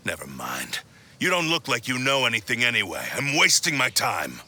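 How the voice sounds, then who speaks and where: An arrogant young swordsman in a game cutscene. His delivery is brusque and dismissive, with a very sharp, forceful tone and lively inflection, over faint ambient noise. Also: medium pitch, a little slow, heavily acted. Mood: cold, dismissive. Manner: curt, dismissive. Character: haughty, brusque young male swordsman seeking a duel. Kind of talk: video game cutscene acting.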